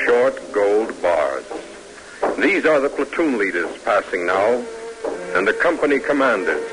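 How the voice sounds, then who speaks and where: Expressive American male radio narrator over music. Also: mid-range voice, low-quality recording. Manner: solemn, stately, oratorical. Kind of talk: old-time radio documentary drama narration.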